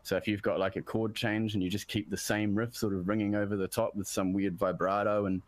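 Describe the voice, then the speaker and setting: A deep-voiced man, a musician guest from New Zealand, chatting casually about production and often restarting his sentences. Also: flat delivery, brisk, no room sound. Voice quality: deep bass voice. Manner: rambling, self-interrupting, searching for words.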